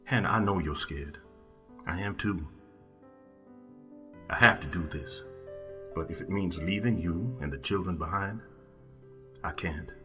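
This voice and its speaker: A man's voice: sits low, a little slow, pauses often, a bit bright. A man playing a determined, loving enslaved husband of the 1860s, grave and earnest in a historical drama. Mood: grave pleading. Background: music.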